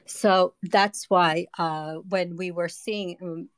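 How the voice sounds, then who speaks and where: A woman's voice: fairly low pitch, fairly even intonation, takes it slowly, no room sound. A woman from Afghanistan, a campaign organizer, speaking earnestly in an online discussion with frequent fillers.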